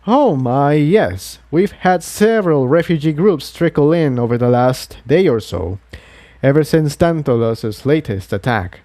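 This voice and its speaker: A man voicing an elderly unicorn high priest in a storybook, formal, courteous but guarded, with a strong, clear voice. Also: lively intonation, a little slow, dark tone, dry recording. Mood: welcoming, smooth. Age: older. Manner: formal, authoritative. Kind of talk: storybook character voice.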